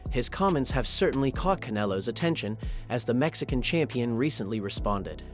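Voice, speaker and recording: Steady male sports news narrator. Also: mid-range voice, brisk, soft background music.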